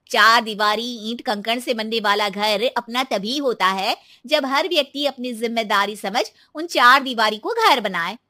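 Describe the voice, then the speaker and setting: A woman's voice: a little high, sharp tone. A woman voicing a firm, self-assured young sister-in-law in a story, speaking in a pointed, emphatic way. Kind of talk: animated Hindi moral story dialogue.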